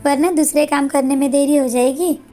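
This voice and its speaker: A woman's voice: high-pitched, steady tone, normal speed, warm tone, close to an everyday voice. A woman voicing a jealous, cruel young stepsister girl, bossy and scornful, in a cartoon moral story. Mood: bossy, scheming. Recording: background music under the voice.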